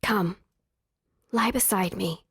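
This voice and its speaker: Young highborn wife for audio drama: candid, tender, unbending, mid-range voice. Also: female voice, takes it slowly, husky, no echo, studio quality, heavily acted. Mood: intimate, firm.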